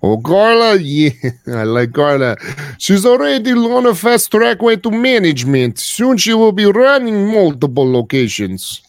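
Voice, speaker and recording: A man's voice: high voice, very animated, measured pace, no echo, studio quality, exaggerated voice. A man playing a pushy, shady Russian store clerk who rambles and swings between menace and friendly sales talk in an improvised comedy sketch. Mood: boastful, chummy.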